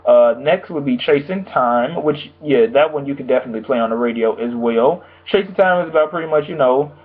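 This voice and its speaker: Young man, casual rambling talk, slightly hoarse, mid-pitched, tinny phone-like sound. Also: a little fast. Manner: rambling, loose, full of "like" and "you know". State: slightly hoarse, recovering from a sore throat. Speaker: music reviewer. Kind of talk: casual album review vlog.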